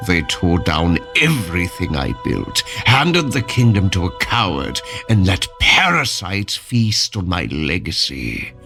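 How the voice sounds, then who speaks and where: An actor playing a vengeful tyrant king returned from death, cold and menacing, in a dark fantasy audio drama, brooding and grandiose. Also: low voice, sing-song, exaggerated voice. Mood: cold fury. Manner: slow, brooding, grandiose pronouncements. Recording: music under the voice. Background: dramatic music.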